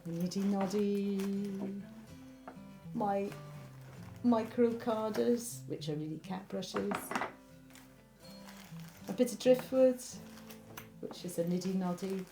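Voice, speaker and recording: A woman, thoughtfully reminiscing in a documentary interview. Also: medium pitch. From Wales. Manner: thoughtful, unhurried, warmly reminiscing. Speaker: interviewee, spinner and knitter. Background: background music.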